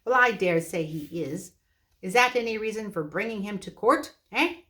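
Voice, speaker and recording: A woman's voice: medium pitch, animated, moderate pace. A woman voicing an old, deaf, irritable male dwarf lord in a storybook reading. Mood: grumpy. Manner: irritable, half-shouting.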